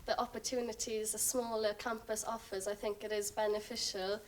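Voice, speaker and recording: A woman's voice: medium pitch, little pitch movement, a little fast, recorded from a distance, a little hiss or hum, poor audio. A young woman answering as a student guest, hesitant and full of fillers. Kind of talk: casual interview answers.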